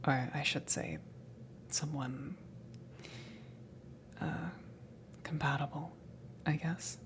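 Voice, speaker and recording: A woman voicing a friendly, flustered alien ship crewmember in a soft ASMR roleplay, speaking in a hesitant, breathy half-whisper. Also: deep voice, steady tone, background noise. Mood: flustered, embarrassed. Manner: hesitant, awkward, giggly, halting.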